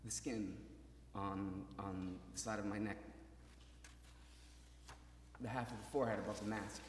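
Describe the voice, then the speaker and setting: An actor playing a maimed young soldier, desperately hopeful, in a halting, intense dramatic monologue that moves between murmurs and cries. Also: male voice, fairly low pitch, steady tone, slight background noise, natural voice. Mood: haunted, searching. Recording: reverberant hall, stage performance.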